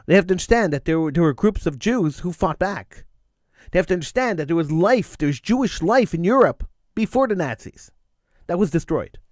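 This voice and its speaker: A man's voice: sits high, animated, brisk, darkish tone, dry recording, clean studio sound. A middle-aged man hosting a talk radio show, speaking emphatically and repeating phrases for stress, with a slight cold wearing on his voice. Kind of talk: impassioned talk radio monologue.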